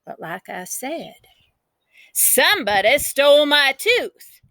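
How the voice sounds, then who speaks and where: Woman playing a spirited, bright-voiced country kid. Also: high voice, big pitch swings, takes it slowly, dry recording, exaggerated voice. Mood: excited, indignant. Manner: folksy, emphatic, dramatic storytelling. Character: spirited, boastful, folksy country child telling their tale.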